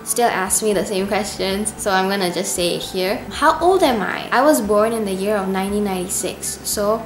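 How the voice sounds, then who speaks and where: A woman's voice: mid-range voice, normal speed, faint music behind. A woman in her early twenties from Indonesia, chatting earnestly and gratefully in a casual question-and-answer vlog. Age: 20s. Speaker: YouTube cover singer. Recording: echoey room with noise.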